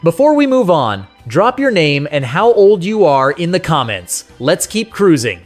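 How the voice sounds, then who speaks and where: A man's voice: a little high, big pitch swings, measured pace. A man narrating a scripted nostalgic music countdown as host, speaking to the listener in a conversational, sentimental way.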